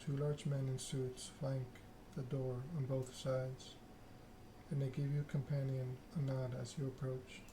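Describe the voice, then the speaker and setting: A man streaming a game in soft ASMR style, reading story text aloud and chatting casually with viewers. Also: medium pitch, flat delivery, a little slow, background noise. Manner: reads narrative text aloud, chats with viewers.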